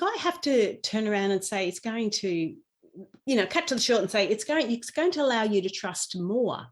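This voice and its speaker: A woman's voice: mid-range voice, darkish tone. A middle-aged woman speaking as a podcast guest in an earnest, explanatory way, repeating phrases for emphasis as she shares in conversation. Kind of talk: conversational personal storytelling and explanation.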